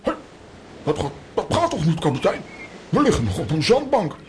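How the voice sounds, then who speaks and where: An actor playing a bossy, self-assured comic adventurer hero, declamatory and excitable, in a children's audio play. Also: male voice, a little high, moderate pace, background noise. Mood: worried.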